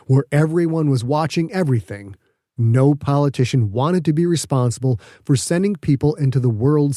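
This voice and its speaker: A man's voice: mid-range voice, warm tone, no room sound, clean studio sound. A man reading scripted fiction as an audiobook narrator.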